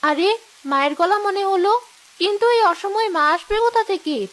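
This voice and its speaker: A woman voicing an exasperated young wife and mother in a cartoon story, with a sharp-tongued way of talking. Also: extremely high pitch, normal speed. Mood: surprised, welcoming.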